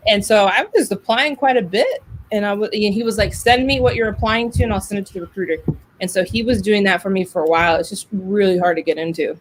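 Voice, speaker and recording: Female podcast host, medium pitch, casual and chatty, storyteller who voices the quotes. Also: quick pace, slight background noise. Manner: talkative, animated storytelling with acted-out quotes.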